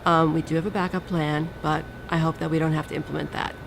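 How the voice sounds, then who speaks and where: A woman's voice: sits low, level tone, quick pace, vocal fry, over music, rough recording. A woman speaking candidly about her concerns in a documentary interview.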